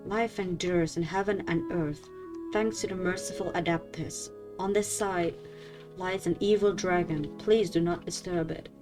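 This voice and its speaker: A woman's voice: lowish voice, fairly even intonation, measured pace. A woman streamer giving casual, informal game commentary, often muttering to herself. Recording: game music behind the voice.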